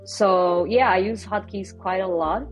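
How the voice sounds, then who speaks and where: A woman's voice: medium pitch, steady tone, unhurried, faint music behind, low-quality recording. A young woman with a Brazilian accent and native Portuguese, explaining things casually as an interview guest on a video call. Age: young adult. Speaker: interview guest, software designer. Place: small home bedroom, indoors.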